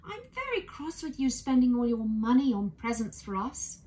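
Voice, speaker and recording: A woman voicing a caring, gently firm family mother, expressive, in a children's storybook reading. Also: fairly high pitch, moderate pace, voice pushed up. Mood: mock cross.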